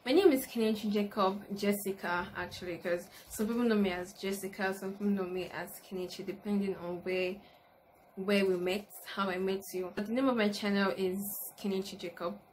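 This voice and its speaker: A woman's voice: mid-range voice, steady tone, fairly quick, a little mellow. Young Nigerian woman chatting, noisy recording. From Lagos, Nigeria. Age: early 20s. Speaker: YouTuber, university student. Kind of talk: casual chat to camera, question and answer. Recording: noticeable background noise, room ambience.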